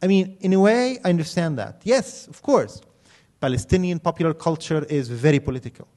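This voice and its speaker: A man's voice: a little high, animated, brisk, a little mellow. A middle-aged man lecturing in an emphatic, ironic way, building his points in rhythmic lists. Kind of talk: critical commentary on film and culture.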